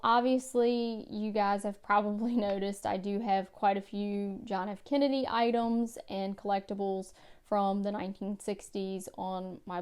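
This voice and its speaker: Woman, home book vlog, clear smooth voice, measured, mid pitch. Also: darkish tone. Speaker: book vlogger. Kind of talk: casual book tag vlog. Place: home room by bookshelves.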